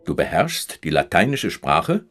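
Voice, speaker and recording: An actor playing a learned, fair-minded Byzantine scholar in a German radio play, formal and authoritative. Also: male voice, sits low, huge pitch swings, a little slow, fairly bright tone, soft background music, exaggerated voice. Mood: examining.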